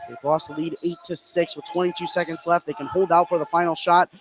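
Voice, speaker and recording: An American man doing live radio basketball play-by-play, getting excited on big plays. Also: fairly high pitch, quick pace, over music. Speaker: play-by-play announcer.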